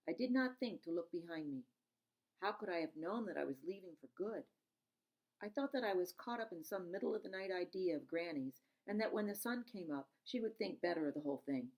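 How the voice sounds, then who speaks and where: A woman reading a storybook aloud in an expressive, emphatic narrating style. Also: lowish voice, brisk, poor audio. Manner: dramatic, emphatic, stops to explain words. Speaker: storybook reader.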